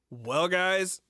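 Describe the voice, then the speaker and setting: A man co-hosting a casual music podcast, easygoing and quick to laugh, on a close microphone. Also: high voice, sharp tone, no room sound. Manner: easygoing, laughs often, signs off in a host tone. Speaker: podcast co-host.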